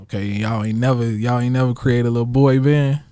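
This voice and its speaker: A man's voice: mid-range voice, steady tone, a little fast, warm tone, smooth, no echo. Laid-back male interviewer, dry close sound. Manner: laid-back, slangy questioner. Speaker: radio podcast co-host. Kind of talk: casual interview questions.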